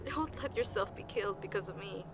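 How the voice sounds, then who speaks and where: A woman playing a gravely wounded, selfless crash survivor in an audio drama, speaking with weak, strained effort. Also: medium pitch, brisk, faint music behind, close to an everyday voice, rough recording. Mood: resigned, tender.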